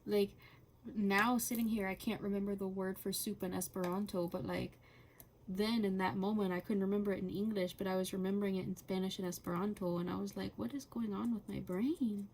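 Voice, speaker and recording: A woman chatting casually and rambling while coloring, halting often with fillers and laughing between thoughts. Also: medium pitch, steady tone, darkish tone, a little hiss or hum, low-quality recording. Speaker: channel host.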